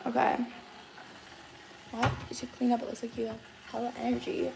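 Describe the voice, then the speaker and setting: A woman in her twenties with a raspy, slightly hoarse voice, chatting casually on a livestream, filling her talk with "like". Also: a little high, completely flat delivery, moderate pace, background noise. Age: 20s. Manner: chatty, lots of fillers like "like".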